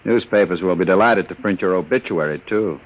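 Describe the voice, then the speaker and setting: An actor playing a suave, menacing gangster with a sardonic, commanding manner in an old radio drama. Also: male voice, low voice, fairly quick, natural voice. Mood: cool menace. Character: smooth-talking, menacing male gangster on the run. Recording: dull, muffled vintage radio recording.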